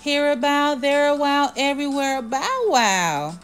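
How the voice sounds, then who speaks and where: Friendly storybook dog, played by a woman: high, curious, sing-song, music underneath. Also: lively intonation, a little slow. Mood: merry singing.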